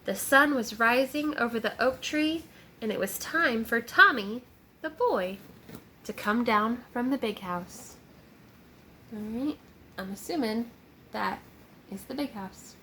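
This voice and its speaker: A woman teacher reading a picture book aloud to young children, deliberate and child-directed, pausing to explain words and ask simple questions. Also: a little high, lively intonation, sharp tone, slight background noise.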